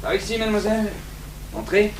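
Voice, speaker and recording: A man's voice: high voice, sing-song, brisk. An actor playing a busy, matter-of-fact male police officer, speaking in a clipped, businesslike way in a French radio drama. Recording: faint noise, old radio recording.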